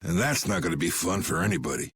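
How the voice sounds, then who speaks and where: A man voicing a gruff, commanding old guild boss in an anime video game, gravelly, blunt and quick to anger. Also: low voice, a bit bright, a little hiss or hum. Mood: gruff, amused. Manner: blunt, swaggering, drawling. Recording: background music behind the voice.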